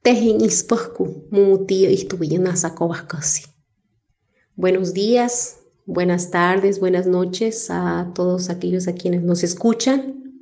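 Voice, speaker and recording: A woman poet from Chiapas, Mexico, whose first language is Zoque, reciting her poems in a slow, measured way. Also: on the low side, steady tone, warm tone. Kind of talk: poetry recitation.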